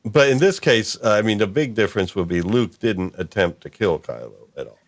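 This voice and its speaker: Deep voiced guy hosting a laid out casual chat. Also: animated, fairly quick. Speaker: podcast host.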